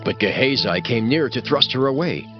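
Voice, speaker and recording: A man's voice: medium pitch, expressive, moderate pace, dull audio, over music. A man narrating a scripture audiobook in a formal, solemn storytelling manner.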